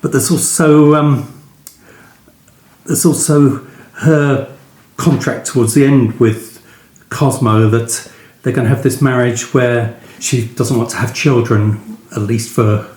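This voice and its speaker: A man conducting a conversational book interview, halting and pausing in the middle of his thoughts. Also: mid-range voice, fairly even intonation, a little mellow. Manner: halting, pausing mid-question. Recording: roomy, echoey sound.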